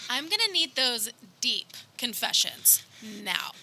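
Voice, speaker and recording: Woman playing a bright, exasperated dispensary clerk. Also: a little high, very animated, slow, dry recording, heavily acted, poor audio. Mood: commanding. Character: exasperated, bossy young female dispensary clerk posing as cop. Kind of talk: comedic radio theater acting. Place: backyard, live performance.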